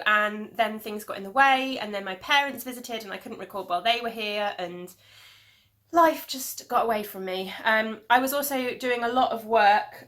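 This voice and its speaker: A woman in her thirties talks casually to the camera at home, rambling and filling pauses with ums. Her voice sits a bit high and rings bright and clear, with a slight sniffle from hay fever. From England. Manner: rambling, chatty, many ums. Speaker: knitting podcast host. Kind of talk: casual video podcast chat.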